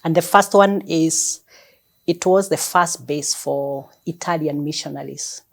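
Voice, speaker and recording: Low-voiced Kenyan woman in her fifties. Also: a little slow, creaky. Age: 50s. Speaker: guest, bank executive. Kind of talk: relaxed personal storytelling. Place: her home.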